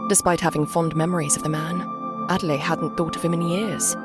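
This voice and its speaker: A woman's voice: on the low side, steady tone, a little fast. A woman reading a dramatic story as an audiobook narrator. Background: background music.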